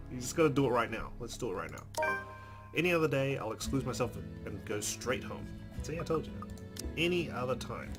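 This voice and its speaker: Man reading aloud over game music. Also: fairly low pitch. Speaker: let's-play host. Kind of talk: reading visual novel narration aloud with casual asides. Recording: music playing behind voice. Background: game music with guitar.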